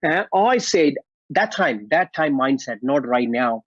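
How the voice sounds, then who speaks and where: A man's voice: mid-range voice, lively intonation, unhurried, no echo, highs cut off. A man from India with an Indian English accent, a martial artist and actor answering interview questions in a friendly, chuckling way with loose grammar. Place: at home after a workout.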